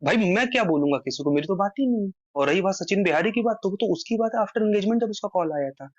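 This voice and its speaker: A man's voice: high-pitched, expressive, quick pace, no room sound. A man on a phone call, earnestly explaining his side at length in casual conversation, his voice rising for emphasis. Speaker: social media creator on a phone call. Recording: muffled dry phone-call recording.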